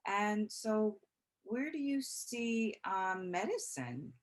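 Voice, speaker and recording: A woman's voice: medium pitch, lively intonation, slow. A woman hosting an interview, asking questions in an easygoing, encouraging way. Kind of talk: casual interview chat.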